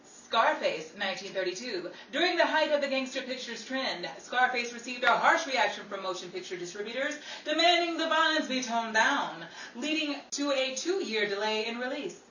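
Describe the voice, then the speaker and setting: A woman announces a 1930s-style radio show in a bright, high voice. She is theatrical and playful as narrator. The mic is distant in an echoey room with some noise around. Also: animated, moderate pace. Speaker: host and narrator of a radio play. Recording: roomy, distant mic, noisy crowd.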